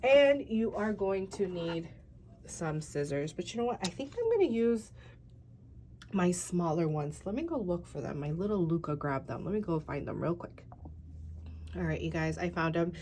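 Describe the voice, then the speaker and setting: Chatty congested woman, low voice. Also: very animated, normal speed, a little mellow, a little hiss or hum. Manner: chatty, rambling, many fillers, addresses viewers as you guys. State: tired. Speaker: craft channel host. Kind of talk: casual craft tutorial talk.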